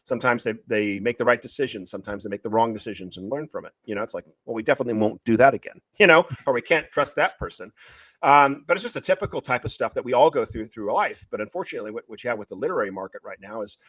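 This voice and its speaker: A man speaking as an author guest in an interview, pitching his books in an emphatic, opinionated way and repeating phrases for stress. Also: animated, rapid-fire, hardly any pauses, a bit bright, slightly rough, no echo. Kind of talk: passionate conversational pitch of a book series.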